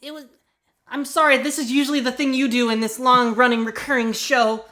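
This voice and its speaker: A man voicing a pleading grandson turned amateur sleuth in an improvised comic radio mystery, halting and overdramatic. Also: very high voice, level tone, bright, forceful tone. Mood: dramatic, halting.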